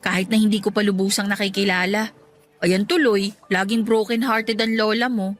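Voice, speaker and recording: A woman with a Filipino accent playing a warm, self-deprecating single mother in a radio drama, speaking expressively. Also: medium pitch, fairly even intonation, normal speed, natural voice. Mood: rueful, self-mocking.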